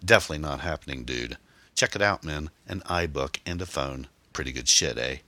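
A man's voice: very deep voice, brisk, bright, forceful tone, no room sound. A man voicing a stocky young gang member in an audiobook, cocky and full of slang. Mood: slangy, casual.

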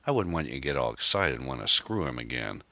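A very deep, forceful male villain acted by an audiobook narrator, jealous and sneering. He drawls out taunts and threats in a folksy, foul-mouthed manner, recorded close and dry. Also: big pitch swings, fast talker, vocal fry, no room sound, exaggerated voice. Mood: sardonic.

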